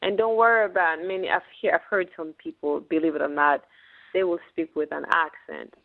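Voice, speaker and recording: A woman's voice: sits low, steady tone, fairly quick, gravelly. A woman from Haiti, a business owner, talking as a guest in a radio interview, earnest and often restarting her words. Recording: tinny phone-like radio broadcast.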